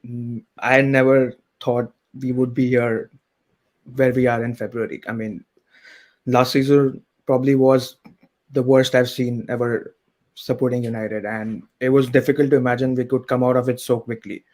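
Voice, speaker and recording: Flat-voiced male caller, measured. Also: mid-range voice. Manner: measured, even, thinking aloud. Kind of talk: casual call-in chat.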